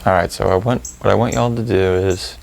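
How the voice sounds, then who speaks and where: Man, meditation guide, heavy vocal fry, flat intonation, gentle unhurried instructions, informal phrasing. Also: low voice, a little hiss or hum.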